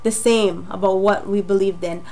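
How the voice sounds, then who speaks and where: Raspy young woman from Jamaica. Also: medium pitch, fairly even intonation, moderate pace, warm tone. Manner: chatty and rambling, frequent fillers. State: getting over a cold. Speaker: vlogger. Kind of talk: casual personal testimony to camera.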